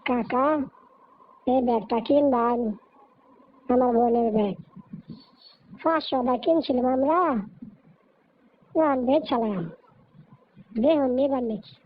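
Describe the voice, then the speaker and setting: A dull recording with clear background noise carries a woman acting as a poor, hungry little boy in a cartoon. The voice is high, with speech and an earnest, pleading, caring manner. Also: a little slow, pauses often. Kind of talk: Bengali moral story cartoon dialogue.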